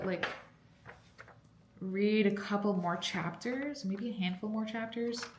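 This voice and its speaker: A woman's voice: medium pitch, darkish tone, roomy sound, slight background noise. A young woman chatting casually in a vlog about her reading, rambling with lots of fillers and sounding congested. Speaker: book vlogger. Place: cold basement room at home.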